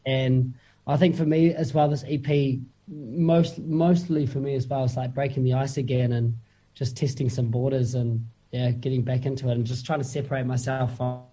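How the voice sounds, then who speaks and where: A man's voice: mid-range voice, level tone, normal speed, dark tone, low-quality recording. Laid-back Kiwi man, slangy and chatty. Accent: New Zealand. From New Zealand. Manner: laid-back slangy talk with many fillers and laughs. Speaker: musician guest. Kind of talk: casual interview chat.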